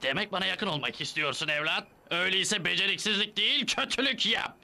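Evil old cartoon villain, creaky and really harsh-bright, scornful, cackly. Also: male voice, high voice, a little fast, soft background music, exaggerated voice. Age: older. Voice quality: creaky, sharp. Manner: menacing, sneering, cackling. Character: ancient cackling male supervillain, scornful bullying father. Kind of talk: dubbed cartoon villain acting.

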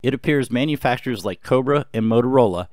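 A man narrating an explainer in a calm, explanatory way. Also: medium pitch, fast talker, no echo, clean studio sound. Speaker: host. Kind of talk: scripted narration.

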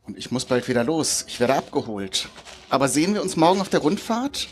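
A man's voice: a little high, sing-song, fairly quick, slight background noise. A man playing a mysterious, teasing Russian childhood friend in a live stage audio drama. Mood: warm, eager.

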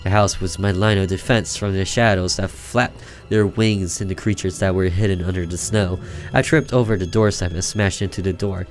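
Deep-voiced man reading a horror game aloud. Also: fairly even intonation, normal speed. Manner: theatrical, stumbles over words and rereads. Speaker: gaming video host reading a visual novel aloud. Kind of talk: dramatic reading aloud with asides. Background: game music.

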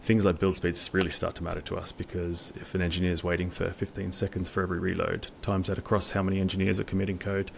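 Male engineer, middle-aged, gravelly voice, halting repeats, video-call interview with some background noise. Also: low-pitched, little pitch movement. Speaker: staff software engineer, interview guest. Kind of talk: informal technical interview talk. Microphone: video call, wireless earbuds.

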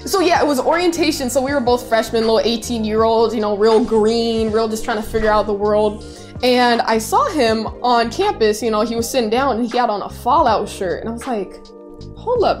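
A high-voiced young woman from the Chicago area streams from her bedroom setup and talks through viewer messages. She is bubbly and effusive and often squeals with excitement. Soft music plays faintly underneath. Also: moderate pace. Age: 20s. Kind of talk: casual live-stream chat reading messages.

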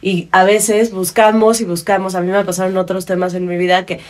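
A woman's voice: medium pitch, level tone, brisk, darkish tone. A woman in her thirties with a Mexican Spanish accent, hosting a casual podcast conversation at a studio microphone. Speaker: podcast host. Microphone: studio microphone on boom arm.

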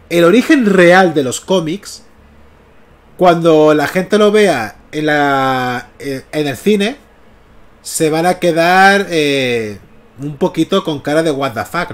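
Canarian streamer guy, fairly high voice, casual chatty comic talk on a headset mic. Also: expressive, slow pace. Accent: Canarian Spanish. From Canary Islands. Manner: chatty, often checks in with "¿vale?". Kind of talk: casual livestream commentary. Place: small room at home, indoors. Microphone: gaming headset mic.

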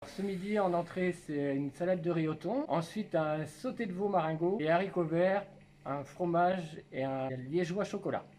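A man in his fifties, a school canteen cook, calmly explaining his work to children with plenty of fillers, recorded on a camera nearby in a kitchen. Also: on the high side, moderate pace, a little hiss or hum. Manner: plain, patient, with many fillers. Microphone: camera held by children nearby.

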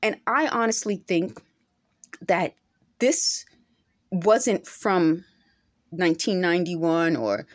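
A woman's voice: on the low side, takes it slowly, pauses often. Earnest, insistent middle-aged woman vlogger. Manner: earnest, insistent, repeats her points. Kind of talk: direct-to-camera monologue. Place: indoor room at home. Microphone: phone camera held close.

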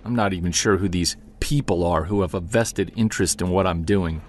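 An actor playing a weary, stubborn investigator in an audio drama, earnest and confiding. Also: male voice, deep voice, a little fast. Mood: rattled, indignant. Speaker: lead actor and narrator. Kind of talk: naturalistic audio drama acting with first-person narration.

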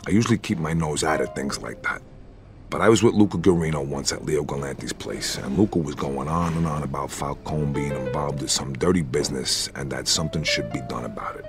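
Discreet mobster, extremely deep voice, gravelly, measured, polite, crime game dialogue. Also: male voice, over music. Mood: guarded, polite. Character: male mobster seeking work, cool, discreet, polite.

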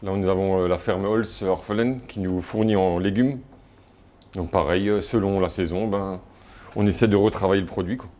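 A man's voice: low-pitched, steady tone, normal speed, darkish tone. A man who runs a restaurant, casually describing daily dishes and ordering in a local TV interview. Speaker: restaurant manager.

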